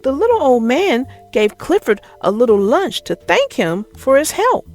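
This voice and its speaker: A woman narrating a children's picture book as an expressive, theatrical read-aloud. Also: sits high, unhurried, faint music behind.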